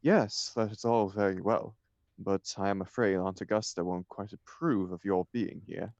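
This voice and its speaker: A man's voice: deep voice, steady tone, moderate pace, a little mellow, no echo, muffled recording. A man reading the part of a flippant, idle upper-class bachelor in a stage comedy, with an arch, mock-serious declamatory manner.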